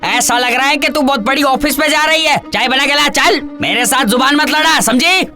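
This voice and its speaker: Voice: high-pitched, normal speed, music in the background. A voice actor playing a bullying, complaining rickshaw-driver husband in a cartoon, scolding and demanding. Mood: bullying, angry. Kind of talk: animated cartoon dialogue.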